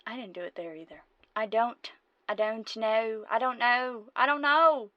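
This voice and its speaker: A woman's voice: fairly high pitch, a little slow, no room sound. A woman voice actor chatting casually between takes. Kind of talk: casual recording-session outtake chat. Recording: dull lo-fi sound.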